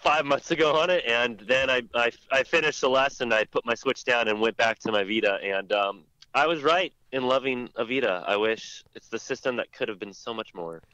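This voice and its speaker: A man's voice: mid-range voice, fairly quick, pushed and bright, dry recording, lo-fi sound. A man co-hosting a podcast, chatting casually with friends about video games. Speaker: podcast co-host.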